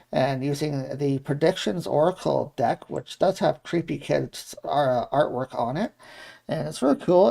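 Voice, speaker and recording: A man's voice: on the high side, vocal fry, mellow. A man co-hosting a live card reading show, talking casually to viewers. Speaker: co-host and card reader.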